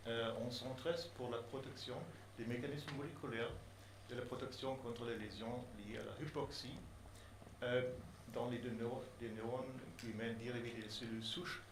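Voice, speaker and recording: A man presenting his research in French, which is not his native language, speaking haltingly with many pauses and restarts. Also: mid-range voice, fairly even intonation. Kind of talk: hesitant formal presentation in a second language. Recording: echoey room, faint background noise.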